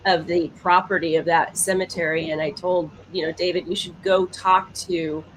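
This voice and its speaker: A woman's voice: lowish voice, flat delivery, normal speed, poor audio. A woman co-hosting a podcast, chatting casually and emphatically, repeating herself for stress. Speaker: podcast co-host. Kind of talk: casual chat. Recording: faint background noise.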